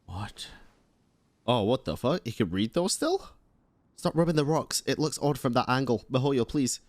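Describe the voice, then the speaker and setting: Lively, blunt male game streamer. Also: medium pitch, normal speed, dry recording. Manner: blunt, sweary, exclamatory. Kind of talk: casual reactions while playing a game. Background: game music.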